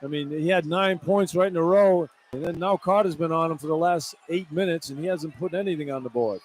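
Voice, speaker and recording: A man's voice: on the high side, fairly quick. A man giving live basketball color commentary as an analyst, conversational and opinionated. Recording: poor radio feed, clear background noise.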